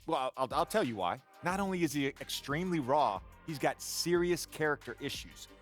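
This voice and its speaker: An actor playing an earnest, measured male sports TV pundit who stammers when flustered. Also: fairly high pitch, lively intonation, faint music behind, lightly acted. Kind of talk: video game cutscene acting.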